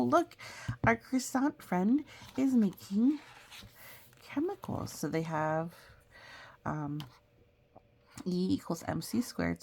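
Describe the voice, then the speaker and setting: A woman's voice: fairly low pitch, vocal fry, a little mellow. A woman reading a picture book aloud as a storyteller, expressively, with chatty, explanatory asides for young listeners.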